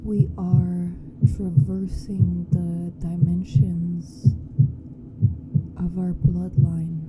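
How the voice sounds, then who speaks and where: A woman leading a guided meditation in a hushed, deliberate manner with long pauses. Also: level tone, low-quality recording. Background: meditation music with a low hum.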